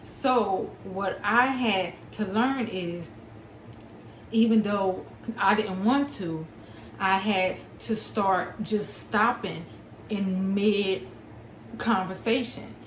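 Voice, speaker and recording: A young adult woman talking to her viewers, stuttering with long pauses and blocks and smacking her lips between words. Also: mid-range voice, reverberant, a little hiss or hum, poor audio. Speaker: small business owner and author. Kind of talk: personal talk to camera.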